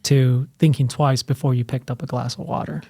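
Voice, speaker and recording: Rambling man with a mellow voice. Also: medium pitch, level tone, fairly quick, gravelly, breathy edge, no room sound, studio quality. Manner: rambling, frequent "you know" fillers. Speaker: guest, fire academy training cadre member. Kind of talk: conversational podcast interview.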